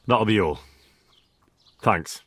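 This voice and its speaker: An actor playing a polite, inquisitive young medieval blacksmith's lad with a husky voice, in a video game. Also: male voice, on the low side, slow, fairly bright tone, no room sound, soft background music, exaggerated voice. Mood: inquiring. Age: young adult. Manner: courteous, inquisitive.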